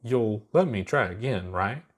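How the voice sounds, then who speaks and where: A man's voice: lowish voice, lively intonation, measured pace. Man playing an eager, earnest young astronaut apprentice. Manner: earnest, polite.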